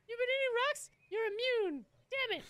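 Very squeaky jealous cartoon girl. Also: expressive, moderate pace. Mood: scheming, frustrated. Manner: threatening, shrill. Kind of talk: comedic fan dub. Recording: loud background noise.

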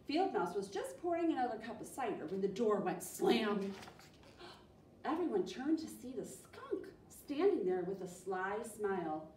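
A woman's voice: mid-range voice, measured pace. A woman in her thirties reading a children's picture book expressively as a storytime presenter. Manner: animated, expressive. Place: small indoor library room. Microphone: distant camera. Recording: roomy, distant mic, faint noise.

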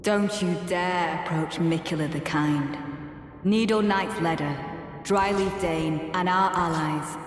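An actress playing a devout female knight in a video game, courteous yet coldly menacing, speaking formal archaic lines in a measured, solemn way. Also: on the low side, in a large hall, soft background music. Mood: defiant, menacing.

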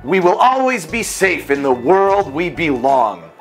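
A man voicing a gentle, reassuring monster mother from a children's storybook. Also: fairly high pitch, big pitch swings, a little slow, faint music behind. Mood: gentle, firm. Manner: gentle yet firm, soothing.

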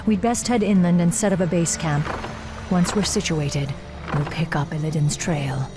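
A woman's voice: fairly low pitch, normal speed, over music, lightly acted. A woman voicing a stern, relentless night elf warden, grave and commanding, in a fantasy game. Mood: grave, pondering. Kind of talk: dramatic fantasy game acting.

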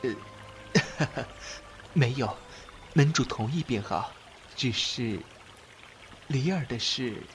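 A man acting a devoted, submissive subordinate in an ancient Chinese audio drama, speaking in a halting, emotional way. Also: mid-range voice, expressive, soft background music. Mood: subdued, resigned.

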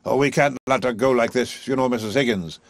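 A man's voice: medium pitch, brisk, no room sound, pitched above the performer's own voice, lightly acted. A man voicing an earnest, concerned older male colonel.

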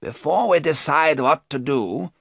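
Grave elderly professor, read by a man, measured and commanding, animated delivery, dry studio sound. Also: fairly high pitch, gravelly, dry recording, voice pushed up, heavily acted.

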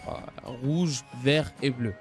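A man giving an unscripted gaming news recap, speaking haltingly and often stumbling or repeating his phrases. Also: mid-range voice. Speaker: gaming video creator. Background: background music.

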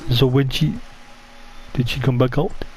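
A man's voice: medium pitch, little pitch movement, a little slow, darkish tone, a little hiss or hum, natural voice. A man voicing a bumbling, foul-mouthed killer devoted to his mother, talking in stilted broken English while commenting on his gameplay. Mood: grumbling, narrating. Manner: broken English, dropped articles, stilted phrasing. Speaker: gamer playing a horror game in character.

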